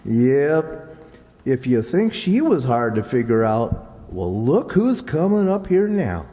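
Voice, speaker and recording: A mild, wry country sidekick acted by a man from an old-time radio comedy. He drawls slowly in a mellow voice with lively swings of pitch and a rural Southern accent, folksy throughout, heard in a reverberant hall. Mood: dry, wry. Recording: echoey hall with long reverb.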